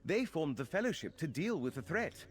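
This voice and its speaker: A man's voice: on the high side, very animated, moderate pace, soft background music. An actor playing an earnest fantasy game watchman who gives formal briefings to newcomers. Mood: grave explaining. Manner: formal, expository delivery. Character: earnest male fantasy islander watchman rallying help against a cult. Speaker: quest-giving non-player character in a fantasy game. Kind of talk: scripted game dialogue.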